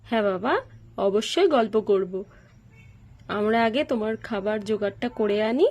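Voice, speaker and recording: A woman's voice: mid-range voice, lively intonation, measured pace, darkish tone. A woman voicing a hospitable, fussing mother welcoming guests in a doll story.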